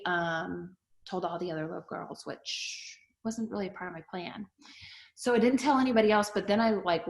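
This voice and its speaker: Chatty woman pastor in a roomy space. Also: fairly low pitch. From Canada-born, raised in the US. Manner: chatty, asks the audience to type answers. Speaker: pastor and TV host. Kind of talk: casual talk to an online audience. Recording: roomy echo.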